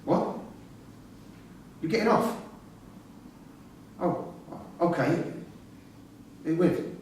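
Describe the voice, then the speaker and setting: An actor in a staged reading playing an imprisoned father, pleading and insistent, quick to swear and anger. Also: fairly high pitch, animated, a little mellow. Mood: angry. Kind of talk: live staged play reading. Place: theatre-pub performance space, recorded from a distance. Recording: roomy far-off stage recording, faint noise.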